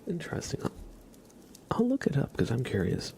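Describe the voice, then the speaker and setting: A soft-spoken man hosting an ASMR livestream, chatting casually and unhurriedly close to the mic, drifting between whispers and a low murmur. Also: wildly swooping pitch, fairly quick, vocal fry. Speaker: ASMR live streamer.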